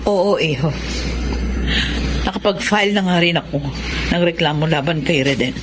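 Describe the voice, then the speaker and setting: A woman's voice: fairly low pitch, moderate pace, background noise, lightly acted. Actress as a resolute, caring mother. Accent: Filipino. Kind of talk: Filipino radio drama acting.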